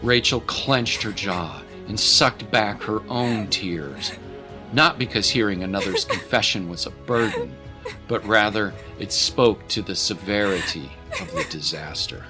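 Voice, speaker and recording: A man's voice: fairly low pitch, expressive, measured pace, over music. A man narrating a scripted dramatic story as a voice-over.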